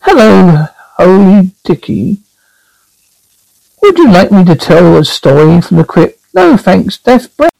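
An actor playing a cackling, ghoulish horror host with a raspy, shrill voice and a punning, theatrical manner. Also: male voice, very animated, takes it slowly, darkish tone, no echo, exaggerated voice, poor audio. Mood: gleeful. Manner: punning, theatrical, relishing the macabre. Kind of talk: horror TV drama.

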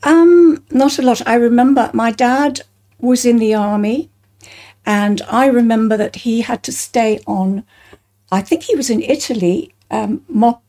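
A woman's voice: fairly high pitch, unhurried, warm tone. An older woman with an English accent, recounting personal memories in conversation as an interview guest. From Bristol, England.

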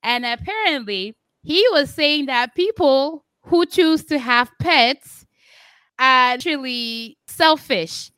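A woman hosting a podcast, chatting casually and telling stories in an animated way. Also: high-pitched, takes it slowly, no echo. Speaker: podcast host.

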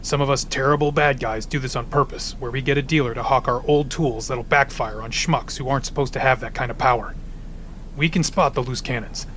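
An actor playing a practical, pun-loving ice supervillain who talks bluntly and dryly in an audio drama. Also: male voice, mid-range voice, fairly bright tone, a little hiss or hum, natural voice. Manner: dry, blunt, cracks cold puns.

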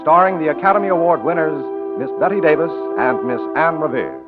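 A man announcing in a formal, earnest, measured 1940s radio style, reading a scripted message. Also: fairly high pitch, loud music behind the voice. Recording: tinny 1940s radio broadcast recording.

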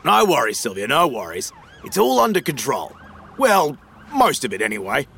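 An actor playing a slippery, matey Australian financial dealer in an audio drama, full of slangy, glib sales patter. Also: male voice, medium pitch, wildly swooping pitch, normal speed, vocal fry, a bit bright, breathy edge, heavily acted. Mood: breezy, reassuring.

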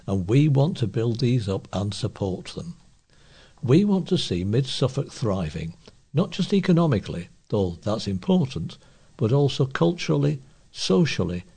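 A man's voice: on the low side, warm tone, breathy edge. A man, reading scripted local news as a volunteer newsreader. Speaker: volunteer newsreader for a talking newspaper.

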